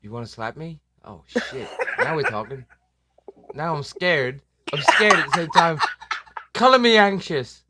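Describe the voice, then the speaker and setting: A man's voice: a little high, animated, measured pace, noisy background. A man hosting a casual live stream, joking and self-deprecating, swearing now and then. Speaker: livestream host. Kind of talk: casual livestream chat.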